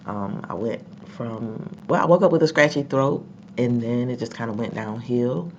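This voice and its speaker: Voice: medium pitch, moderate pace, a little mellow. A sick, congested vlogger talking casually to viewers, rambling with many ums and repeated words. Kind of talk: casual commentary vlog. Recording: dull lo-fi with faint background noise.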